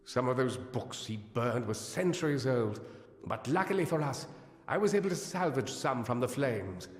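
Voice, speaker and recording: A man's voice: medium pitch, lively intonation, moderate pace, natural voice. An actor playing a learned, earnest gnome scholar in a fantasy game, precise and formal in his speech. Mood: regretful, scholarly. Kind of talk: scripted fantasy game dialogue. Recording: echoey hall reverb, music behind.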